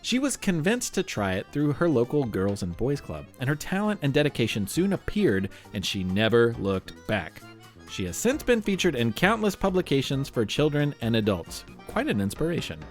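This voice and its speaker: A man's voice: mid-range voice, very animated, moderate pace, soft background music. A man hosting a children's show, narrating from a script in a playful, theatrical way with wry asides. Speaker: podcast host.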